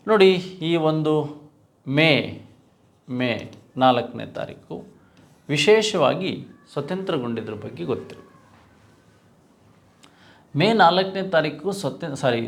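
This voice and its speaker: A man with a Karnataka Kannada accent teaches an exam class in a fairly high voice with a marked fry. He works through quiz questions in a didactic way. Key points get repeated, often after a question he answers himself. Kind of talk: lecture, reviewing quiz questions.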